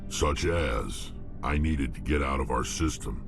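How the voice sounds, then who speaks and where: An actor playing a blunt, hulking male alien mercenary who speaks slowly and gruffly. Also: a bass voice, animated, exaggerated voice. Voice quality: gravelly. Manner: slow, blunt, growling. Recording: game audio with music under it.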